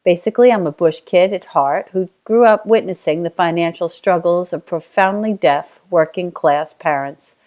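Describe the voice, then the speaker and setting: A woman's voice: lowish voice, normal speed, few pauses, mellow. A middle-aged woman from Australia with an Australian accent, steadily reading her own life story in a reflective way. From Australia (Tasmania). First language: Auslan (sign language).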